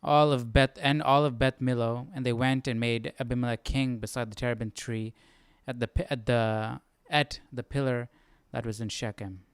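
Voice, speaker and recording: A man hosting a Bible study, casually thinking aloud as he reads, haltingly asking himself questions. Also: mid-range voice, steady tone, clean tone, dry recording. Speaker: host of a live Bible study.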